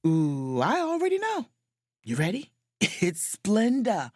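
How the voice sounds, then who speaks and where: A woman playing a confident, sharp-witted, proud and flirtatious sleuth in an audio drama, with an emphatic, theatrical delivery. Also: mid-range voice, huge pitch swings, slow, husky, no echo, studio quality, exaggerated voice. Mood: scheming, excited. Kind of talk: audio drama acting with first-person narration.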